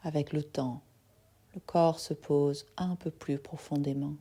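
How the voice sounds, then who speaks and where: A soft-spoken woman guiding a yoga nidra relaxation in a soothing manner, leaving long pauses between phrases. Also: deep voice, steady tone. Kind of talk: guided meditation.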